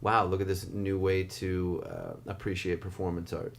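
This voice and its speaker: A man's voice: deep voice, flat delivery, normal speed, creaky. A man chatting casually as an interviewer in a studio conversation.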